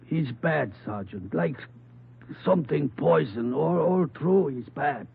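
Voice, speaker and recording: A bitter, grave old father speaks with a foreign accent in formal, halting phrases. It is vintage radio drama, thin and narrow in band, with some noise behind. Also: fairly high pitch, lively intonation, creaky, exaggerated voice. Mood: bitter, ashamed. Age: older. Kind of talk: 1950s radio drama acting.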